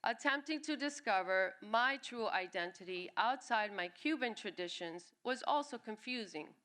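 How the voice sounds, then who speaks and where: A woman born in Cuba and raised in New York City, reading her own personal essay aloud at a live event with an expressive delivery. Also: on the high side, moderate pace. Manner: expressive reading, briefly acting out quoted family members. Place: live reading at a music venue.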